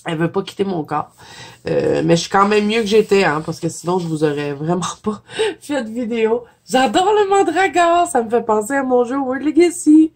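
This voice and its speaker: A woman with a Québécois French accent, stuffy from a cold, chatting casually as a coloring vlogger, filling pauses with "euh" and soft giggles. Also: fairly high pitch, expressive. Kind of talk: casual chat flipping through a coloring book.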